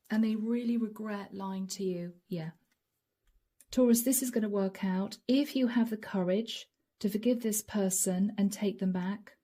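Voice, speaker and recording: A middle-aged woman giving a channeled tarot reading. She speaks softly and intimately, often dropping to a whisper, and her voice is a little hoarse from a long session. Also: mid-range voice. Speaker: tarot reader.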